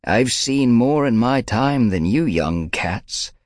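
A man doing a crusty old gray she-cat who has seen it all, her voice raised well above his own and rasping as it rumbles up from her chest. She talks slowly and firmly, and her words land hard in this dry audiobook reading. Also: expressive, no echo. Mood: stern, knowing.

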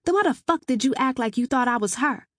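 A woman voicing a feisty, sharp-tongued, fed-up young woman in audiobook dialogue. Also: high-pitched, expressive, quick pace, dry recording, studio quality, pitched above the performer's own voice. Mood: angry, defiant.

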